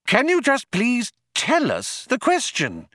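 A man voicing an excitable, indignant male alien seeker in an audiobook, yelling in exasperated disbelief. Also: very high-pitched, slow, dry recording, studio quality, pitched above the performer's own voice, exaggerated voice. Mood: exasperated pleading.

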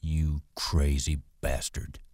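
A giant imaginary rabbit that taunts in a slow, contemptuous drawl, as a deep-voiced man puts it on. The voice stays low and dry, dragging out each mocking line in a storybook reading. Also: level tone, a little mellow, dry recording, exaggerated voice. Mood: scornful.

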